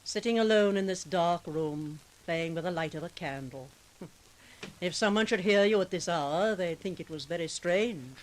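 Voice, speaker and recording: An actress playing a domineering, scheming murderess in 1940s radio drama style, commanding and coldly coaxing in a melodramatic way. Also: female voice, on the low side, normal speed, natural voice. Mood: controlling. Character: domineering, scheming murderous woman controlling a weaker man. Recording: hissy, noisy 1940s radio recording.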